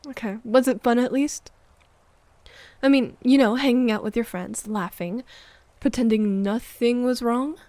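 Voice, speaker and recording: A fairly high voice in a very dry recording, a woman acting out a needy, wounded girlfriend. She is accusing and emotional, shifting from pointed reproach to breathy, hushed murmurs in an intimate roleplay monologue. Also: measured pace, no echo, close to an everyday voice. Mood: hurt, accusing. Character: hurt, needy, accusing live-in girlfriend waiting up late.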